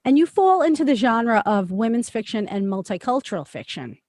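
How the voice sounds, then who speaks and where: Bright-pitched woman hosting a podcast. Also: sing-song, fairly quick, no room sound. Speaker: podcast host and interviewer. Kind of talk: scripted podcast introduction and interview.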